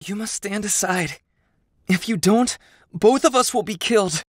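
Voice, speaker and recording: Lady doing a young royal from a game, soft breathy voice, slow n earnest, dry sound. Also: medium pitch, no room sound, close to an everyday voice. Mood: pleading, solemn. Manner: earnest, deliberate. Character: youthful royal, gentle, regal and composed. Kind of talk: dramatic game voice acting.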